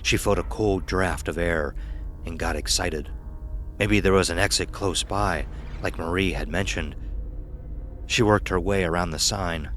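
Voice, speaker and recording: A man with a very low voice reads scripted horror narration. There is faint background noise behind his storytelling. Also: a little slow, fairly bright tone.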